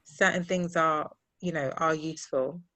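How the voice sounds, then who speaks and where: A woman's voice: deep voice, steady tone, normal speed, pushed and bright, no echo. A woman chatting casually as a podcast co-host, thinking aloud as she explains her own experiences step by step. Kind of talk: casual conversation, personal anecdotes.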